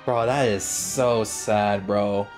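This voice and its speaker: A man giving casual, excitable game playthrough commentary, reacting and repeating himself as he goes. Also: fairly low pitch, slow. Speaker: gaming YouTuber. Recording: game music behind voice.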